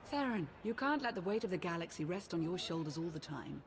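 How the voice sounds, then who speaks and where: A woman playing a composed, loyal adviser in a game, speaking earnestly in measured, formal phrases. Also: fairly low pitch, sing-song, a little hiss or hum.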